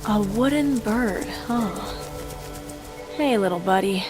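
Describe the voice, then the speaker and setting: An actress playing a plucky, pushy young martial hero in a video game, with an upbeat, coaxing way of talking. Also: female voice, medium pitch, slow, close to an everyday voice. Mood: resolute. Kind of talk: dramatic game cutscene acting. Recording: music behind.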